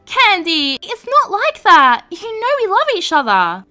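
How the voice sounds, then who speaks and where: A woman's voice: extremely high pitch, huge pitch swings, unhurried, sharp tone, faint music behind, pitched above the performer's own voice, full character voice. A woman voicing a young woman who is a former best friend turned stepmother, speaking in a sweet, coaxing, pleading way.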